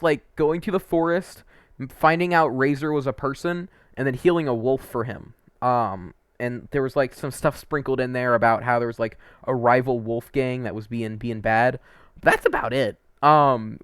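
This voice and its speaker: A man's voice: mid-range voice, fairly bright tone, no room sound, clean studio sound. A man giving casual, opinionated game review commentary, informal and emphatic, stretching words for emphasis.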